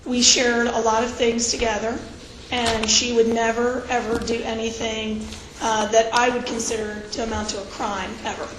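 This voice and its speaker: A woman's voice: fairly high pitch, level tone. A woman in her fifties, an attorney with a cold, giving firm character testimony at a hearing. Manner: firm, measured answers. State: hoarse from a cold. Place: hearing room. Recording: echoey room with background noise.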